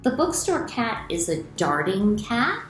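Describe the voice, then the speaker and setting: Middle-aged woman, medium pitch, children's author at storytime, expressive delivery, roomy home recording. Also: unhurried, faint music behind. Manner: expressive, clearly addressed to children. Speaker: children's author reading aloud. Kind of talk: children's storytime read-aloud and explanation. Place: small room at home, indoors.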